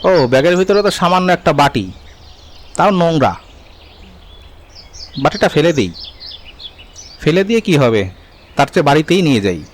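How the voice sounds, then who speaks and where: A man's voice: a little high, sing-song, normal speed, long pauses. A man playing a poor, henpecked but kindhearted village husband in a cartoon, given to grumbling and muttering. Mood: musing.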